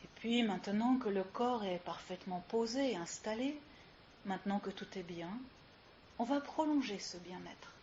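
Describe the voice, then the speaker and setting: A woman leading a guided yoga relaxation as instructor, giving soothing, unhurried instructions with long pauses, recorded live. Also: medium pitch, darkish tone. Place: live session with ambient sound. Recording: muffled live recording with clear hiss.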